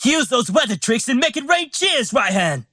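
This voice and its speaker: A confident young male game champion with a British accent. The voice bounces widely in pitch. The manner is exuberant and showy. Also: very animated, normal speed, sharp tone, heavily acted. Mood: confident, upbeat. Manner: theatrical, exuberant. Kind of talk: video game voice lines.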